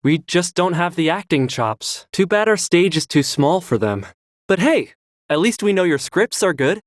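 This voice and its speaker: An actor playing an earnest, self-critical stage performer in conversational game dialogue. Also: male voice, high voice, sing-song, moderate pace, no echo, studio quality. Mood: resigned, encouraging.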